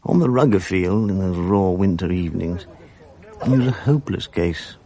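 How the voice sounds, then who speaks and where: Dry, gravelly, low English narrator. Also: male voice, mellow. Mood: wistful, reflective. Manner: measured, articulate, reflective. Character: reflective grown man recalling his English boarding-school days. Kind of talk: literary first-person drama narration.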